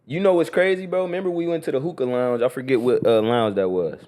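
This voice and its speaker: A man's voice: medium pitch, fast, a little mellow. A young man from Columbus, Ohio, co-hosting a podcast in a loose, slangy, casual chat. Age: young adult. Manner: loose, slangy, frequent "you feel me". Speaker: podcast co-host.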